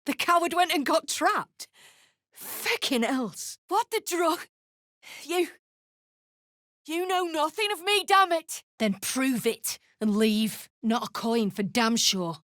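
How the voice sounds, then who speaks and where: A high-voiced, embittered deep gnome woman for a video game. She talks slowly and bluntly, with a defiant snarl and a sweary edge. The recording is dry and close. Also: big pitch swings, fairly bright tone, dry recording, heavily acted. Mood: defiant, angry. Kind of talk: video game character acting.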